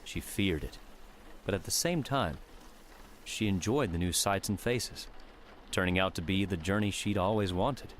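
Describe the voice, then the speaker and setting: A man narrating an audiobook in a steady, measured reading. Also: low-pitched, a little hiss or hum.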